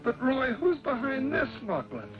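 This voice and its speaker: A man acting as an excitable, folksy and loyal cowboy sidekick in an old Western drama, exclaiming indignantly. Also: very high-pitched, unhurried, over music, heavily acted. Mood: urgent. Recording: dull, noisy old radio recording.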